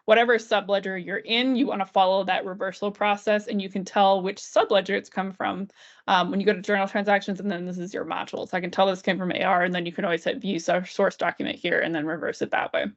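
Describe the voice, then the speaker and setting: A woman with a mid-pitched voice leads a software walkthrough on a slightly dull, lo-fi recording. She explains each step aloud as a trainer would, keeping it informal and conversational. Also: level tone, fast talker. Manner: informal, walks through steps aloud. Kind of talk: screen-share software tutorial, explanatory and conversational. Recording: dull, band-limited lo-fi audio.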